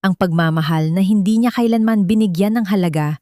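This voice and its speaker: A woman's voice: medium pitch, brisk, dark tone, no room sound, studio quality. A woman with a Filipino accent reading a dramatic scripted story as narrator.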